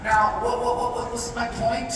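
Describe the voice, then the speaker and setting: A man with a high-pitched voice delivers an emphatic, preachy talk to a live group. He leans on rhetorical questions and slips into imagined dialogue. The sound is distant and swimming in heavy echo, with clear noise over it. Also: flat delivery, normal speed, fairly bright tone. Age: middle-aged. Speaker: dance workshop speaker. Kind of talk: impassioned informal public speech to a live group. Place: large echoing room with a live audience. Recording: very echoey noisy hall, far from mic.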